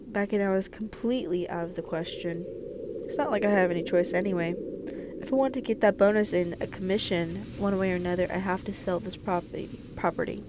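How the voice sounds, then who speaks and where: A woman doing a let's play, expressively reading visual novel narration aloud with stumbles and casual asides. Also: medium pitch. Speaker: video creator playing a visual novel. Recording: clearly noisy recording.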